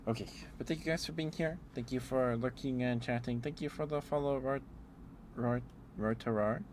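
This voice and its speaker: A man livestreamer chatting casually in an ASMR stream, rambling in a hesitant, unhurried way. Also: mid-range voice, darkish tone, a little hiss or hum.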